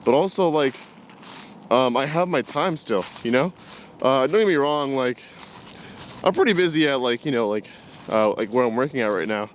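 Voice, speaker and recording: Male vlogger, twenties, mid-pitched, unscripted and reflective, rambling delivery, recorded outdoors with faint ambient noise. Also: animated, moderate pace, pauses often. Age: 20s. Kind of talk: casual unscripted talk to camera. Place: outdoors, walking in woods. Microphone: camera carried while walking.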